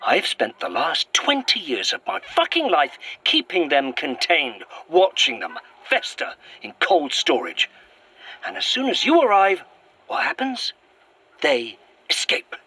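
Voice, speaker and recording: A man's voice: a little high, huge pitch swings, unhurried, sharp tone, slightly rough, dry recording, heavily acted. A man playing a bitter, paranoid monster keeper in a horror audio drama, tense and accusatory, on a noisy outdoor recording. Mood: bitter, hushed. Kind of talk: found-footage horror audio drama acting.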